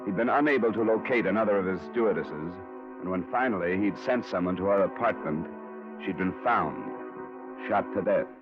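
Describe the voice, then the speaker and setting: Male actor, low register: hardboiled investigator-narrator, terse and probing, vintage radio crime drama, dull recording with music. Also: moderate pace, close to an everyday voice. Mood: matter-of-fact narration. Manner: terse, probing, matter-of-fact.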